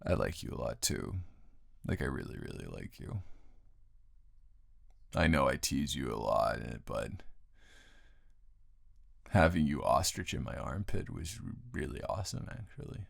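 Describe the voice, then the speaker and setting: A man improvising an intimate boyfriend roleplay as a teasing, affectionate roommate, talking in a casual, halting way with a low, gravelly voice. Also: big pitch swings, no room sound, clean studio sound. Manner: casual, halting, unscripted delivery. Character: grumpy, teasing, affectionate male roommate turned lover. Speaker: audio roleplay performer.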